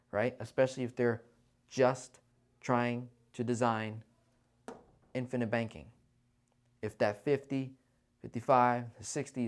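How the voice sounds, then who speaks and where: A man with a medium-pitched voice hosts a casual online Q&A. He talks in a conversational, persuasive way. He often tags his points with short check-in questions to the listener. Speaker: financial Q&A host. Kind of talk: casual livestream Q&A on finance.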